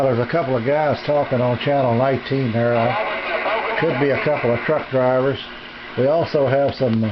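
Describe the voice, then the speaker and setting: A middle-aged or older man giving a casual, unscripted show-and-tell as a hobbyist, with a halting, folksy delivery, recorded on a handheld camera in a small home room. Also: mid-range voice. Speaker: radio hobbyist presenting his equipment. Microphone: handheld camera's built-in microphone. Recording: dull lo-fi camera audio, background noise.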